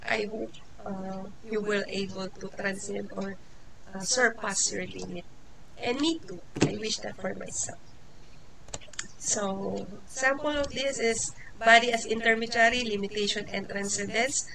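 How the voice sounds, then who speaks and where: Filipino woman in her forties, unhurried informal class talk, hesitant with lots of fillers, crowd murmur behind. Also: medium pitch, fairly even intonation, creaky, poor audio. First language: Tagalog. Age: 40s. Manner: hesitant, many fillers and restarts, laughs often. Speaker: teacher. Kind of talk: informal lecture.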